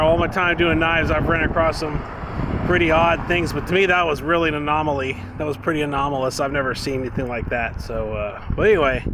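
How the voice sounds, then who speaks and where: A man telling a story in a casual, rambling, conversational way, as a vlogger out on a walk. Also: fairly high pitch. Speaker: vlogger, former online knife maker. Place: outdoors, walking dogs in an urban area. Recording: outdoor ambience and traffic noise. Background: faint outdoor and vehicle noise.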